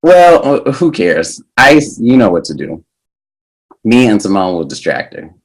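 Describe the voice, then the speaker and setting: A man acts out a cocky, mischievous teenage boy in a table read. The delivery is unhurried, with a very lively pitch and a sarcastic, teasing edge. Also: heavily acted, rough recording. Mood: scheming. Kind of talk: table read of a pilot script.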